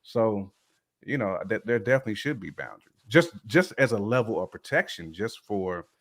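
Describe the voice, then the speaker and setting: A man's voice: fairly low pitch, very animated, normal speed. A man chatting casually and colloquially, speaking emphatically and repeating phrases to make his point. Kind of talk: casual chat.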